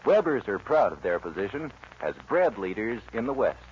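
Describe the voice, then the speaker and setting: A man's voice: lowish voice, big pitch swings, moderate pace. A man reading a scripted advertisement as a commercial announcer on a 1940s radio recording. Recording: muffled, slightly noisy vintage radio recording.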